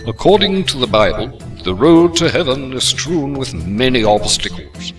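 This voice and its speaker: A man's voice: mid-range voice, sing-song, moderate pace, far from the mic. Wry male narrator over faint music. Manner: dry, wry storyteller. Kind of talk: scripted comic narration.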